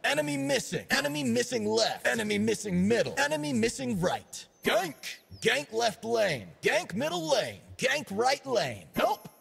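An actor playing a cocky, laid-back young dancer and DJ in a video game, with swaggering party slang and a gravelly voice. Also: male voice, extremely high pitch, big pitch swings, moderate pace, hardly any pauses, a bit bright, exaggerated voice. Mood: energetic, urgent. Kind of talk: video game character voice lines.

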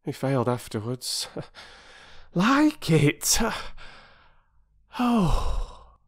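A humble, emotional working-class mother who rattles on in rambling dialect, as a male narrator voices her. Her voice sits high above the reader's own and tumbles out quickly. Her lines swing freely in pitch. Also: very animated, exaggerated voice. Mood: overcome. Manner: rambling dialect speech, rushing on.

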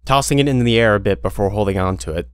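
A man's voice: lowish voice, very fast. A man narrating a scripted audio drama as storyteller.

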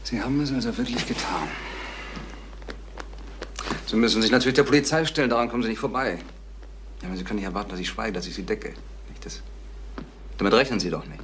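An actor playing a stern, insistent businessman in a crime radio play, with a measured, pressing manner. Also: on the low side, lightly acted. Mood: stern, regretful. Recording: noisy old radio play recording.

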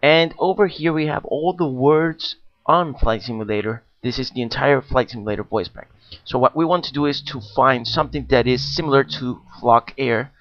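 Male tutorial narrator, steady mid-range voice, casual filler-laden walkthrough, dull home-mic sound. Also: mid-range voice. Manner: plain explanatory, frequent uh fillers. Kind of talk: screen-recorded software walkthrough.

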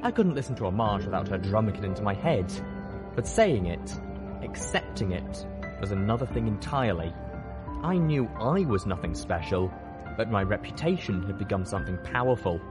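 A man narrating a first-person story as an expressive audiobook reader. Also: on the low side, normal speed, music in the background.

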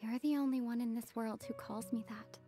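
An actress playing a gentle, earnest young twin sister in a dramatic video game, soft and hesitant. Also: female voice, on the high side, fairly even intonation, fairly quick, soft background music, close to an everyday voice. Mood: pleading.

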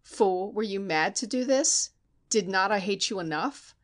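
A woman's voice: mid-range voice, lively intonation, a little slow, lightly acted. A woman voicing a heavy, cold, menacing older foreign man who speaks in clipped threats.

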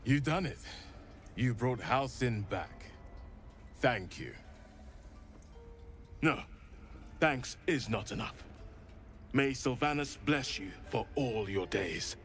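An earnest, devout druid in a fantasy game. He has a middle-pitched voice and speaks with deliberate formality, over faint music. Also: lively intonation, fairly bright tone. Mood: deeply grateful. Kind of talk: dramatic game dialogue.

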